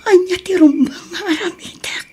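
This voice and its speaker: A woman playing an anguished, secretive wife and mother in a radio drama, speaking haltingly with a whispery, tearful delivery. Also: very high voice, warm tone. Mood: sobbing, desperate. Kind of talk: radio drama acting in Ilocano.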